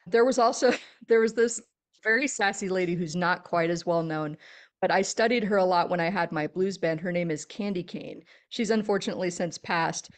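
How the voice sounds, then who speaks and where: A middle-aged woman with a deep, low-sitting voice chats as a podcast guest. She tells her stories with animation and an easy laugh, in a relaxed, conversational way. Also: normal speed. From Texas. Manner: animated storyteller, laughs often. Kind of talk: casual interview chat.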